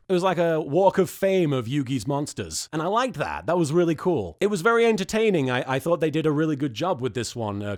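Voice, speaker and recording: A male reaction host with a high voice and a very lively range. He recaps with heavy sarcasm and incredulous exasperation, swearing freely as he goes. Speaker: host of an episode reaction series.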